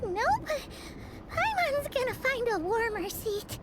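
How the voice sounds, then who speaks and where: Very squeaky childlike fairy companion. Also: female voice, sing-song, slow, over a noisy crowd, heavily acted. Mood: cheerful, curious. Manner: refers to self in third person, exclaiming. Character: tiny excitable floating fairy companion, childlike girl. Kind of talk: anime-style video game acting. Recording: background music loud under voice.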